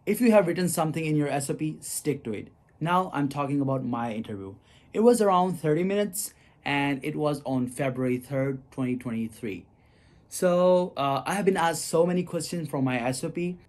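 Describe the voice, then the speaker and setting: A man's voice: mid-range voice, a little mellow. A young man from Pakistan with a Pakistani English accent, talking to camera and giving earnest, encouraging advice to students. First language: not English. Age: young adult. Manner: earnest, encouraging, addresses viewers as you guys. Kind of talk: casual advice talk to camera.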